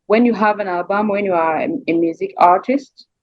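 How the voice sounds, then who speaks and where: A woman's voice: medium pitch, a little fast, dry recording, highs cut off. A woman from Rwanda with a Rwandan accent answers interview questions as a singer, searching for words and repeating phrases in a conversational way.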